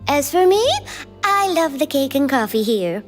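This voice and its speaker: A woman voicing a polite, gentle young non-human girl who speaks in a calm, matter-of-fact way. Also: sits high, moderate pace. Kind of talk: video game voice acting. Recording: game audio with reverb and music.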